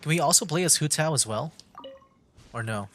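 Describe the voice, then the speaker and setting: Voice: medium pitch, animated, moderate pace, a little hiss or hum. A game streamer giving casual livestream commentary, thinking aloud in a hesitant way. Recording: voice over loud game music. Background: game music.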